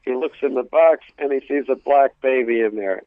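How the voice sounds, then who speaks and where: A man's voice: medium pitch, normal speed, no echo. A middle-aged man with a New York-area accent, a native Greek speaker, telling jokey stories as an interview guest in a rambling way with stammering restarts. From New York and New Jersey, US. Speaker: guest, stand-up comedian. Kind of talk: casual comic storytelling in an interview. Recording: dull lo-fi radio sound.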